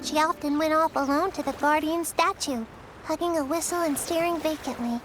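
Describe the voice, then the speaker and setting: A woman voicing a small, excitable young village creature in a video game, chatting and telling tales in an animated, earnest way. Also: high-pitched, moderate pace, background noise, natural voice. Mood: earnest, concerned. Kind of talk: video game character dialogue. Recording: game music behind the voice.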